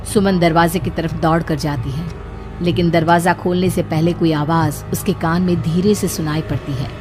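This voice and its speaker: A woman's voice: lowish voice, steady tone, moderate pace, music in the background. A woman reading scripted narration for a horror story as its narrator.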